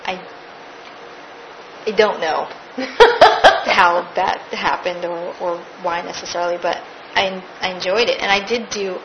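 A woman's voice: fairly low pitch, steady tone, unhurried, dull audio, slight background noise. A woman, a stage actress, recounting her theater career in a casual interview, laughing now and then.

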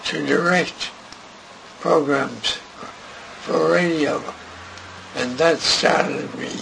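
An older man recalling his radio career in an interview, speaking haltingly and restarting often. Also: fairly high pitch, vocal fry. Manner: slow, halting, with many restarts. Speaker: interviewee, radio writer and director. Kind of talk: reminiscing interview. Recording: noisy recording.

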